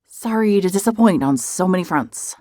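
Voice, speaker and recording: An actress playing a hard-hearted, sardonic private investigator, narrating in a wry, self-mocking way. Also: female voice, fairly low pitch, expressive, normal speed, mellow, close to an everyday voice. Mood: guarded. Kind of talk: first-person scripted narration.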